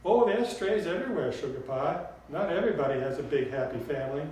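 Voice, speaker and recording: A man's voice: on the high side, expressive, quick pace, darkish tone, natural voice. A man voicing an elderly Southern grandmother spirit in an audiobook, sweet and blunt, with a folksy drawl. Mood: folksy, sassy. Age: older. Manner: folksy drawl full of endearments. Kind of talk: audiobook storytelling character voice. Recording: very echoey room, distant mic, faint noise.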